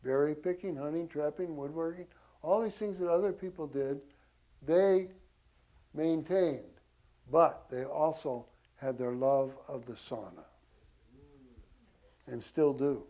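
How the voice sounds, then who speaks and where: A man with a somewhat high-set voice teaches history to a group. He speaks informally and in a folksy way, dropping in casual asides to his listeners. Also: slow, pauses often, dark tone. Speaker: church class teacher.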